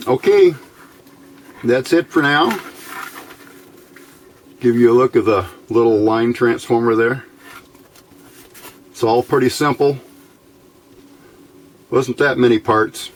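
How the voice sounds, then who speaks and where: A man's voice: medium pitch, slow, long pauses, slight background noise. An American man giving an informal technical tutorial as a hobbyist host, plainspoken and a little hesitant.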